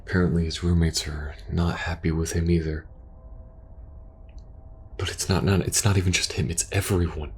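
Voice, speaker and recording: A man playing a war-weary, protective soldier in an intimate ASMR audio roleplay, speaking earnestly and hesitantly, sometimes hushed. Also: sits low, level tone, moderate pace, long pauses, slight background noise. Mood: frustrated, weary. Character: war-weary young male soldier pleading with best friend, protective, earnest.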